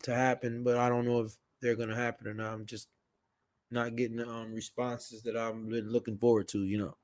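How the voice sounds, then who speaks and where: A man's voice: medium pitch, flat delivery, brisk, darkish tone. A man hosting a live stream, chatting casually and informally with his viewers, with trailing asides. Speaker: live stream show host.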